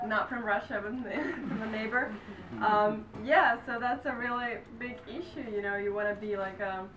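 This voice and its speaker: A Finnish-accented woman speaks hesitantly in English, hunting for the right words. Her pitch sits in the middle. She is heard from a distance in an echoing room, with clear background noise. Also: normal speed. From Finland. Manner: hesitant, searching for English words, laughing easily. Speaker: actress. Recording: echoey room from far off with noise.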